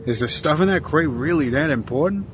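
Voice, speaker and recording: An actor playing a humble, curious sailor who talks haltingly and stammers with deference. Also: male voice, a little high, lively intonation, over music, natural voice. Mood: hesitant, curious. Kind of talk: audio drama acting.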